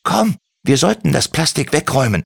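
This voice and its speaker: A man's voice: on the high side, sing-song, brisk, vocal fry, husky, dry recording, studio quality, voice pushed up, heavily acted. A man doing an eager little kobold healer. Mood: caring. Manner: eager, kindly questioning. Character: small helpful male kobold healer, caring.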